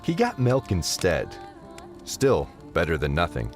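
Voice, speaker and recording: Male narrator, deep and very varied in pitch, expressive scripted storytelling over background music. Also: unhurried.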